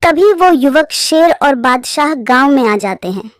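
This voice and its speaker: A woman narrates a fable in a high-pitched voice. Her scripted storytelling sounds close and dry, without room echo. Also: animated, measured pace.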